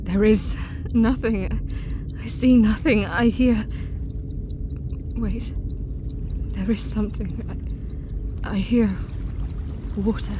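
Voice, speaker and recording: A woman's voice: mid-range voice, fairly even intonation, natural voice. An actress playing a young woman under a vampire's spell, speaking earnestly in an audio drama. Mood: entranced. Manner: earnest, halting when entranced. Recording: audible background hiss.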